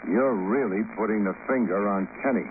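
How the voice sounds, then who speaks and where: A muffled, hissy vintage radio detective show. A man plays a sardonic, world-weary American private eye with a very low voice and clipped, dry lines. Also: normal speed, lightly acted. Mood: probing, wry. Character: wry, world-weary male private investigator narrating his case. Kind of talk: 1950s radio detective drama acting. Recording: muffled, noisy 1950s radio recording.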